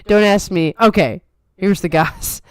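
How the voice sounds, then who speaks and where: A woman with a softened New York accent, co-hosting a casual chat in a trained, controlled radio manner. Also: medium pitch, slow, no echo, clean studio sound. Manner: chatty, playful, trained radio delivery. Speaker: podcast co-host.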